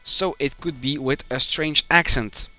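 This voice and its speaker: A man from Belgium, a native Dutch speaker with a Belgian Dutch accent, commentates a live game stream in halting, short phrases. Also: medium pitch, sharp tone, faint music behind. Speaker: esports match commentator, community manager.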